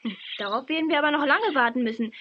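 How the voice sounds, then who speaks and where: A woman acts out a cheeky, excitable girl in a children's audio drama. The voice is very high and pert. The sound is a little dull, with clear noise and birdsong behind. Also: quick pace, close to an everyday voice. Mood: pert, grumbling. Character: hungry, excitable, cheeky young girl on safari. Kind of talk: children's radio play acting.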